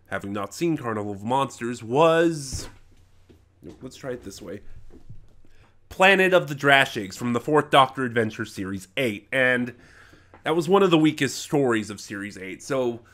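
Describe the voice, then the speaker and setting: Man, mid-pitched, bright forceful voice, casual reviewer, opinionated, rambling, halting delivery, self-correcting. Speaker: reviewer, channel host. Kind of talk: casual unscripted audio drama review.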